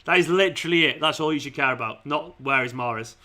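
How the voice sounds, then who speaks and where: Male football stream host, bright and fairly high voice, informal and matey, slightly worn from talking. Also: quick pace. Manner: informal matey address, often saying "man", "mate" and "pal". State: losing his voice. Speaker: fan channel livestream host. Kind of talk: football watchalong stream, casual chat with viewers.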